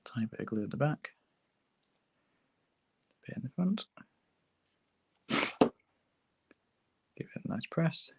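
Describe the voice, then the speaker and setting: A man with a hoarse sore throat, hosting a relaxed, chatty livestream tutorial while building a model kit at his workbench. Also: mid-range voice, takes it slowly, pauses often, slight background noise. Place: hobby workbench, filmed from overhead.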